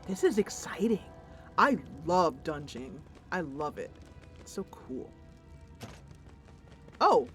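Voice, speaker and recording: Slow-paced enthusiastic woman gaming streamer. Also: on the low side, sing-song, faint music behind. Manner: enthusiastic, thinking aloud. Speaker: streamer playing a fantasy roleplaying computer game. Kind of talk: gameplay commentary mixed with dramatic narration.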